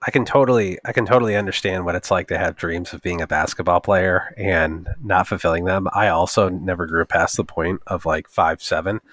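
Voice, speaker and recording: A man's voice: sits low, fairly even intonation, brisk, few pauses, a bit bright. A man hosting a podcast, asking questions in a jokey, rambling way with many fillers. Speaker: podcast host. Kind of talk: casual podcast interviewing.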